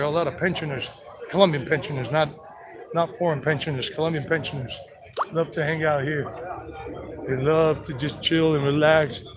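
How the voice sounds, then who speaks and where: A man from South Carolina giving a chatty, rambling walking-tour commentary outdoors, joking with his companion and greeting passersby. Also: fairly high pitch. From South Carolina, United States. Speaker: tour guide vlogger. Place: outdoors on a town street and park.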